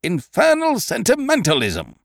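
A man voicing a blustering male schemer who booms and rants in exasperation. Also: wildly swooping pitch, moderate pace, fairly bright tone, dry recording, studio quality, exaggerated voice. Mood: indignant. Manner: booming, exasperated ranting. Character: blustering loud male schemer, booming and indignant.

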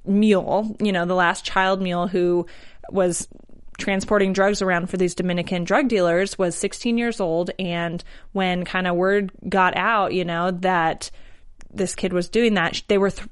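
A woman's voice: medium pitch, clean tone. A woman co-hosts a recap in a casual, conversational way. She leans on "like" as she retells scenes. She often slips into characters' lines as quotes. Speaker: host recapping a TV episode. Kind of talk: casual chat.

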